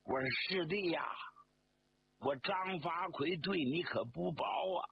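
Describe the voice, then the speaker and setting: A man voicing a proud, emotional army commander-in-chief who makes drawn-out, theatrical appeals in a storytelling performance. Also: a little high, creaky. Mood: pleading. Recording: dull lo-fi sound, faint noise.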